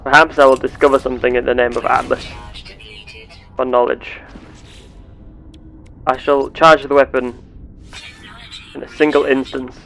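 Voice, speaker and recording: A man voicing a curious, earnest space explorer with measured, formal, theatrical phrasing, narrating a sci-fi game roleplay. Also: medium pitch, flat delivery, gravelly, fairly bright tone, faint music behind, low-quality recording. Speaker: gameplay host.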